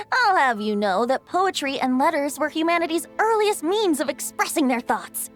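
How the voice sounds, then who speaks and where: A man's voice: very high voice, very animated, measured pace, faint music behind, exaggerated voice. An actor voicing a breezy, teasing young male bard. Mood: playful. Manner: lighthearted, gently teasing. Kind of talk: video game voice acting.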